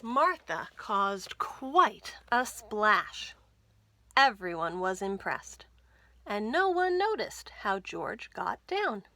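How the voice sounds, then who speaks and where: A woman in her thirties reading a picture book aloud to children, with careful, expressive storytelling. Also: mid-range voice, dry recording. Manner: slow, careful, expressive delivery for young children. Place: small indoor room lined with bookshelves.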